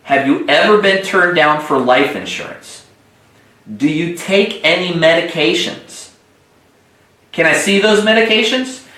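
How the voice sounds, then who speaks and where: A man with a fairly high voice speaks in an echoey room as he hosts a training call. He coaches in a direct, instructional way, repeating key points for emphasis. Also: a little slow, bright, forceful tone. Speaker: sales trainer hosting an agent conference call. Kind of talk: instructional sales coaching talk.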